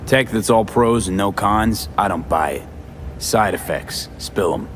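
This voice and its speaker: Tough, low-voiced male mercenary played by an actor. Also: a little slow, a little hiss or hum, close to an everyday voice. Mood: skeptical. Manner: laconic, clipped, dry. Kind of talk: cinematic game dialogue.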